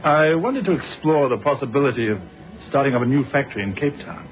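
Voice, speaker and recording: An actor playing a polite, probing British police inspector in a radio drama, with a measured, formal manner. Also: male voice, mid-range voice, expressive, close to an everyday voice. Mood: reflective. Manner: measured, courteous, formal questioning. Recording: thin phone-like radio sound, faint music.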